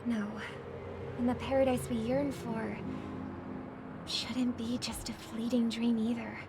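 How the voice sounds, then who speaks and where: A woman playing a gentle, thoughtful famous singer in a video game, speaking politely and with measured care. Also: on the high side, flat delivery, background noise. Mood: earnest. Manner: measured, polite, soft-spoken. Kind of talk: scripted game voice acting.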